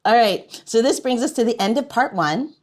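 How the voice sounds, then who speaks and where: A woman hosting a podcast in a playful, expressive way, moving between banter and show announcements. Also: a little high, moderate pace. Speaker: podcast host.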